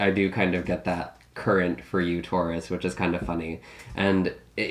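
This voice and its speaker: A man giving a casual tarot reading, slightly raspy from a recent illness, musing and thinking aloud with many hedges and restarts. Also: low voice. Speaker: tarot reader.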